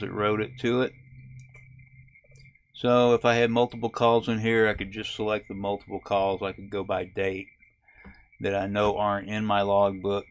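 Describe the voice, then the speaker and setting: Low, flat-voiced man explaining software. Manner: relaxed, halting explanation with many uh fillers. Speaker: ham radio video host. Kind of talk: casual screen-recorded tutorial. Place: camper.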